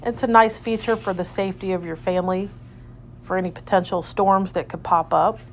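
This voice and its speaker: A woman's voice: medium pitch, steady tone, brisk, a little hiss or hum. A woman real estate agent narrating an informal, unscripted walkthrough of a home, describing each room as she moves through it. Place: indoors, moving through rooms of a house.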